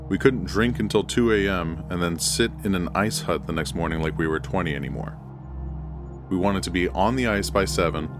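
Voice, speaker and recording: A man reading a scripted first-person horror story as its narrator. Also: deep voice. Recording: music bed under the voice. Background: background music.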